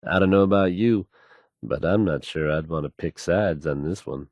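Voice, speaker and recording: An actor playing a smooth, self-assured male radio DJ and announcer in a video game. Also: low-pitched, normal speed, dry recording, dull audio. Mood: assured, wry.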